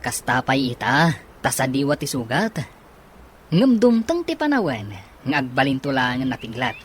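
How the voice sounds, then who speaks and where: A man's voice: medium pitch, sing-song, moderate pace, husky. An actor playing a supportive young male friend, consoling and upbeat, in Ilocano-accented radio drama style.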